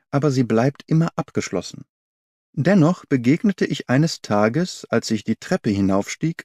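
Audiobook narrator: man as observant young governess telling her mystery, precise delivery, mid-range pitch, dry recording. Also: darkish tone, no echo. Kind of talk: first-person audiobook narration.

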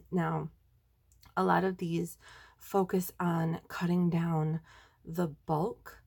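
Husky, nasal woman with a low voice. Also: flat delivery, extremely slow, darkish tone. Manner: chatty, enthusiastic, many ums. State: nasal from a sinus infection. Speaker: planner video creator. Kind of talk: casual tutorial vlog. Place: indoors at a desk.

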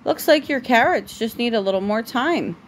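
A woman's voice: on the high side, lively intonation, moderate pace, a little hiss or hum, natural voice. A woman voicing a helpful, eager little boy bear in a storybook, in a simple, friendly read-aloud delivery.

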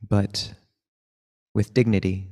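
Low, even-toned man guiding meditation. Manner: unhurried, gentle instruction with long pauses. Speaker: meditation teacher. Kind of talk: guided meditation instruction.

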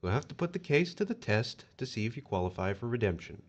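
Actor as an officious, swooping collector of souls. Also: male voice, lowish voice, big pitch swings, fast, lo-fi sound. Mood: formal, theatrical. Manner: wry, formal, explanatory. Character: smooth-talking, officious male otherworldly collector of souls. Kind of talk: audio horror drama acting.

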